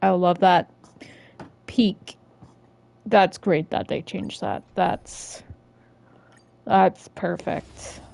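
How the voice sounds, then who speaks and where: A woman's voice: on the low side, steady tone, drawn-out words, gravelly, pauses often, a little mellow. A woman chatting casually as she streams a video game. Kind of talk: casual gameplay chat.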